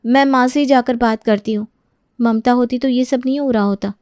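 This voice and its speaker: A woman's voice: on the high side, steady tone, normal speed, darkish tone, smooth, no room sound, lightly acted. A woman playing a demanding young student sister-in-law in an audio story, complaining and entitled.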